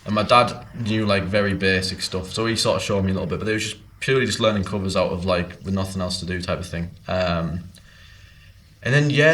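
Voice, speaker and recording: A man's voice: fairly low pitch, a bit bright. A young man from Teesside, a guest singer-songwriter talking casually in a pub, rambling and full of ums and likes. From Teesside, North East England.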